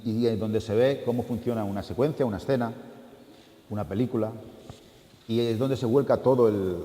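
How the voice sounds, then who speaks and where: A man's voice: fairly low pitch, warm tone. A man speaking as an animator at a public talk, explaining informally and often repeating his phrases as he goes. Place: large room at an art school event. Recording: echoey hall, distant reverb, background noise.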